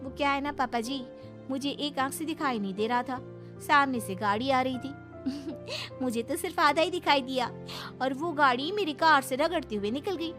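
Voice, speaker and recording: A woman's voice: high voice, normal speed, over music, natural voice. A woman voicing a sassy, defiant young bride in a cartoon moral story, pert and scolding in manner. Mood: mock innocent. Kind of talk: animated moral story dialogue.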